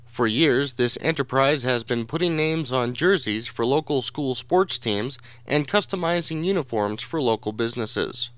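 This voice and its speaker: A man's voice: mid-range voice, expressive, normal speed, fairly bright tone, no room sound. A man reading scripted narration as a voice-over narrator and reporter.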